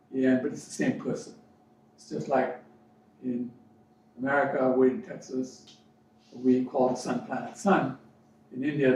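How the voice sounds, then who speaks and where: Male motivational spiritual coach from Fiji, deliberate preaching delivery with emphatic repeats; heavily reverberant room, distant mic. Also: medium pitch, darkish tone. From Fiji, educated in New Zealand. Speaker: life coach and spiritual speaker. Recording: very echoey room, distant mic, dull sound, faint noise.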